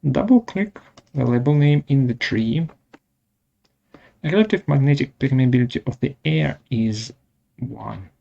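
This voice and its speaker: A man presenting a step-by-step software tutorial in short, halting instructional phrases, with a gravelly voice. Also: medium pitch, muffled recording.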